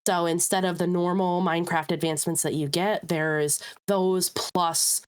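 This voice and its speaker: A woman co-hosting a podcast in casual chat, upbeat and chatty, her voice a little rough and hoarse from a recent illness. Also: fairly low pitch, fairly even intonation, normal speed. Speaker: podcast co-host.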